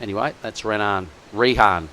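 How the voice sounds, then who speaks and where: A man giving chatty, excitable live commentary on a surf contest. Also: fairly low pitch, normal speed, slight background noise.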